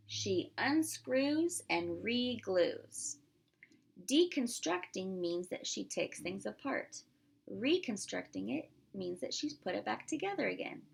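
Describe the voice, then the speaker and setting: A woman reading a picture book aloud to young children, expressively emphasizing words and pausing to explain and ask questions. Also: on the high side, vocal fry, breathy edge. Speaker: pre-K teacher.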